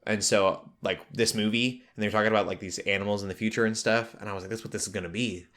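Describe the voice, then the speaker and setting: A man's voice: fairly low pitch, fairly even intonation, fast, fairly bright tone. A man hosting a casual pop culture podcast, talking in a rambling way that drifts into tangents. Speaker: podcast host.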